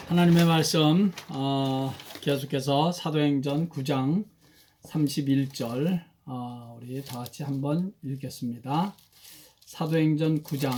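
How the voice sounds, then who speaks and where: Hoarse, slow-speaking male pastor. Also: fairly high pitch. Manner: slow, soft-spoken, halting with fillers. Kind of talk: morning worship with hymn singing and prayer. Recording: faint guitar music behind.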